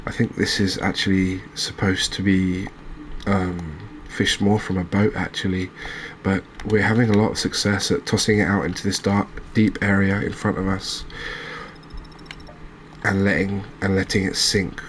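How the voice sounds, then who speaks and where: A man giving gentle, unhurried ASMR commentary as he plays a game, pausing and hesitating as he goes. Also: sits low, flat delivery, slight background noise. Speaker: host of a gaming channel. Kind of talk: ASMR let's-play commentary.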